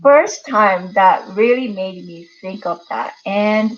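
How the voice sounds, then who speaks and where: A woman's voice: medium pitch, lively intonation, slow, dull audio. A woman in her thirties, from Japan, answering interview questions and laughing often between phrases. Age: 30s. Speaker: guest.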